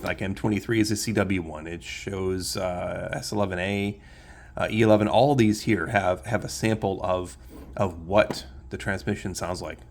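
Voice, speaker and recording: This is a man with a deep, low-pitched voice presenting as a hobbyist. He talks casually and without a script, walking the viewer through a demonstration. His delivery is halting, as if working out each phrase while he goes. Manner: halting, thinking aloud as he demonstrates. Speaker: shortwave radio hobbyist presenter.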